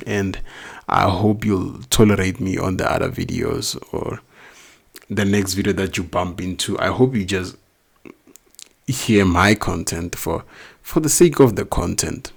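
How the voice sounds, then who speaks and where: A man's voice: sits low, a little slow, creaky, husky. A man with a non-native English accent, teaching a recorded math lesson step by step, asking questions and answering them himself. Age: adult, not young.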